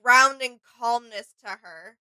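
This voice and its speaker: A woman co-hosting a casual reaction video, talking in an admiring, halting, conversational way. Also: on the high side, sharp tone, clean tone, no echo.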